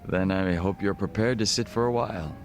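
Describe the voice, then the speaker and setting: An actor playing a composed, formal gangster second-in-command in a video game cutscene, measured and reserved. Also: male voice, deep voice, soft background music, natural voice. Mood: calm, formal.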